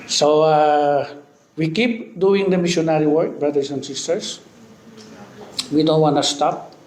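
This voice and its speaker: Man, 50s, Filipino accent, preacher; high-ish voice, halting with frequent amens; echoey church room. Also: fairly even intonation, a little mellow. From Philippines. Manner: studs his talk with amen and hallelujah, halting with fillers. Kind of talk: sermon. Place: church hall. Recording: echoey church hall with faint background noise.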